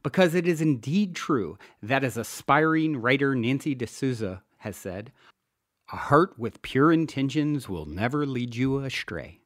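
A man reading his own self-help audiobook as scripted narration, earnest and measured, with deliberate emphasis. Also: mid-range voice, lively intonation, no echo, studio quality. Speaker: podcast host and author reading own audiobook.

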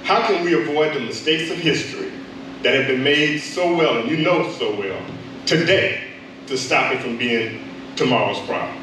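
A man's voice: medium pitch, expressive, normal speed. An older man, a retired pastor, earnestly asking a question from the floor. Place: large church hall. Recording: roomy echo, distant mic, noise.

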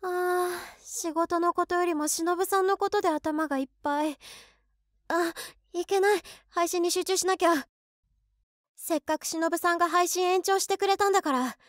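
An actress playing an overworked, excitable office woman in her twenties who is a devoted fan, musing aloud expressively in a voice drama. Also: very high voice, moderate pace, no room sound, studio quality. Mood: wistful, dreamy. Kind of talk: Japanese situation voice drama monologue.

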